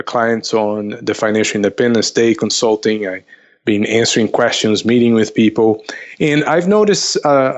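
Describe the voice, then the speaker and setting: A man answering conversationally as an interview guest, haltingly, repeating words as he goes, on slightly lo-fi audio. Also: mid-range voice. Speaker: investment counselor, podcast guest.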